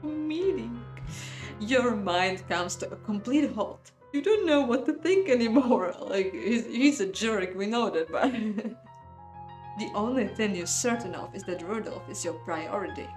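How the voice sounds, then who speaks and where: A woman's voice: medium pitch, animated, a little slow, roomy sound, music in the background. A woman narrating a visual novel playthrough expressively, breaking off to react and comment. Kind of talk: dramatic story narration with playful reactions.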